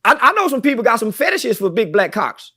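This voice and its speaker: A man with a strikingly high voice holds forth as a podcast guest. He is brash and boastful, preaching about himself with constant swearing. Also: big pitch swings, a little fast, pushed and bright.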